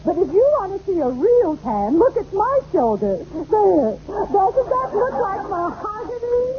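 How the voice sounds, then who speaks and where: An actress playing an affected, catty, gushing society matron in an old radio comedy. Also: female voice, very high-pitched, very animated, exaggerated voice. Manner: drawn-out, gushing, condescending. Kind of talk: 1940s-50s radio comedy acting. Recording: muffled, noisy old radio recording.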